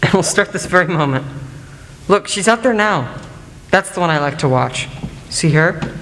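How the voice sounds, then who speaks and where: A man's voice: high voice, expressive, normal speed, fairly bright tone. A young man playing a restless, eager teenage boy in a radio play, with a boyish manner. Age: teens or young adult. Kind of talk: student radio play acting. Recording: reverberant hall, clear background noise.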